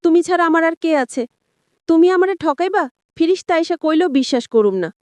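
A woman's voice: very high voice, expressive, a little fast, a little mellow, dry recording, pitched above the performer's own voice. A woman playing a devoted but suspicious village wife in an audio story, with colloquial, questioning rural Bengali speech. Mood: tearful devotion.

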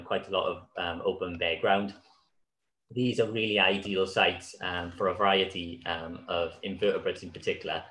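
A man, giving an online conference talk over slides in a relaxed way with many fillers, his voice a little hoarse. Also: low-pitched. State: slightly hoarse. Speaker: conservation speaker. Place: small room at home. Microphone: video call. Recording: echoey room on a video call.

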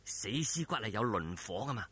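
Male reader as bold, caring young swordsman, Hong Kong Cantonese, earnest storybook hero with a husky edge. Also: fairly low pitch, sing-song, normal speed, fairly bright tone, no room sound, voice pushed down, heavily acted. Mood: calm, relieved.

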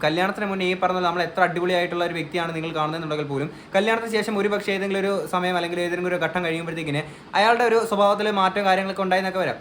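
A man's voice: high-pitched, fairly bright tone, a little hiss or hum. A man giving earnest, emphatic opinion commentary as a vlogger on a news case.

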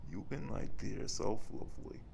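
A man's voice: lowish voice, moderate pace, creaky, heavy background noise. A man playing a cocky, flirtatious werewolf hitman in an audio roleplay, speaking in clipped, article-dropping phrases. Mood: smug, seductive. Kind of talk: audio roleplay addressed to the listener.

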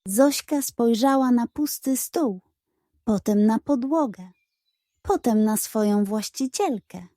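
A woman tells a children's bedtime story with expressive, very slow delivery. Her voice is fairly high and mellow, with a very dry sound. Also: no echo. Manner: expressive, unhurried.

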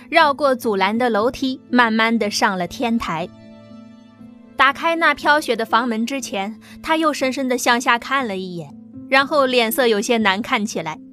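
Female narrator, somewhat high voice, steady storytelling over soft music. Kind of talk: scripted narration.

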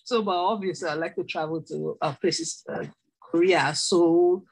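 Woman grad student, chatty, self-introduction, mid-low voice, video call audio. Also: a little slow, a little mellow, slightly rough, low-quality recording. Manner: chatty, laughing, with restarts. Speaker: doctoral student. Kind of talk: online class self-introduction.

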